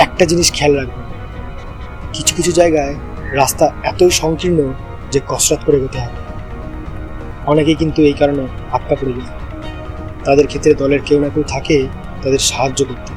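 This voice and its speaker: An actor playing a helpful, cautious young local guide in a suspense audio drama, speaking in a measured, advisory way. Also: male voice, fairly high pitch, steady tone, close to an everyday voice. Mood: cautioning, reassuring. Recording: voice over background music.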